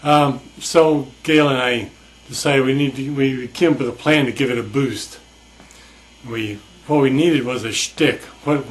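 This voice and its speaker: An older man telling a long anecdote in a wry, deadpan way, with frequent restarts and fillers. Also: medium pitch, poor audio. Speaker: storyteller. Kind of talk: casual spoken anecdote.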